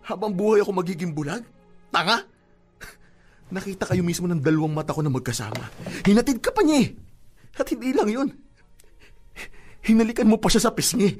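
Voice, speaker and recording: A high-voiced young Filipino boyfriend, played by a man, confronts his lover in a radio drama. He is jealous, proud and wounded, accusing and insistent, with soft music behind him. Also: sing-song, a little fast, pauses often, breathy edge, full character voice. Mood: angry, accusing. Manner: accusing, insistent, punctuating with sharp questions. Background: dramatic background music.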